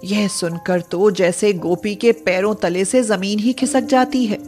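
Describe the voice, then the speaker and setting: A woman narrating a scripted story in an expressive, dramatic way. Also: mid-range voice, measured pace, soft background music.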